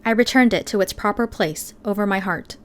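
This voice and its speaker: A woman's voice: mid-range voice, brisk. A woman reading scripted first-person fiction as an audiobook narrator.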